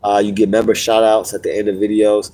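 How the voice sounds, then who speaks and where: Mellow-voiced man hosting a casual livestream. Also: lowish voice, a little fast. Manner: animated storytelling, repeats and restarts phrases. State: getting over a cold, sniffling. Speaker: podcast host.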